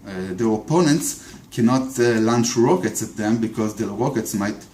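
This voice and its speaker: A man's voice: lowish voice, normal speed, a little mellow, a little hiss or hum. A man with an Israeli accent, talking through a political argument off the cuff, often stumbling over repeated words and fillers. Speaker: video commentator. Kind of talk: unscripted political monologue. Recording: echoey room.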